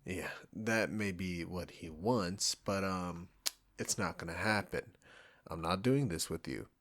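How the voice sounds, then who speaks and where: A man acts out a proud restaurant chef with a low voice. He is combative and sarcastic, talking straight at the listener in an audio roleplay with an irritable, condescending edge. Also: unhurried, a bit bright. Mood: angry, defensive.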